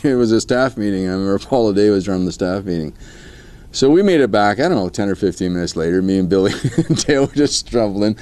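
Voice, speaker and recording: Folksy Canadian male storyteller, smooth and relaxed, casual delivery. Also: mid-range voice. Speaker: colleague giving a tribute. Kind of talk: casual anecdote.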